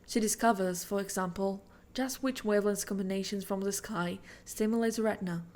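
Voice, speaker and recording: A woman's voice: medium pitch, darkish tone. A woman reading a written passage aloud in a formal style. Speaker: reader of a quoted passage.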